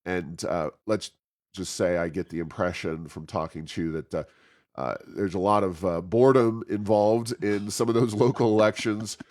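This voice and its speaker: A man's voice: low-pitched, moderate pace, darkish tone. A man hosting an informal interview, feeling his way through questions with hesitant, roundabout phrasing. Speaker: interview host.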